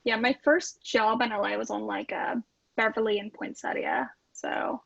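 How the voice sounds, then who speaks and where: Creaky, husky-voiced woman chatting casually. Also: on the high side, fairly even intonation, moderate pace, fairly bright tone, dry recording, highs cut off. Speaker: podcast cast member. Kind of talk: casual chat.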